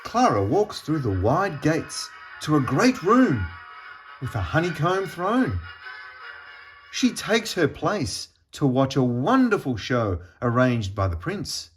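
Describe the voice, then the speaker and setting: A man reading a children's storybook aloud in an expressive, deliberate storytelling manner with pauses. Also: fairly high pitch, soft background music. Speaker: storybook reader.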